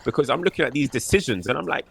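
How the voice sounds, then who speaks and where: A man's voice: medium pitch, animated, rapid-fire, vocal fry, fairly bright tone, a little hiss or hum. A man co-hosting a casual football chat, emphatic and fond of mocking repeated phrases. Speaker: co-host.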